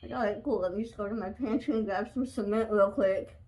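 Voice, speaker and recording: A woman co-hosting a casual podcast who speaks quietly and softly, with dry humor. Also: mid-range voice, a little fast. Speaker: podcast co-host.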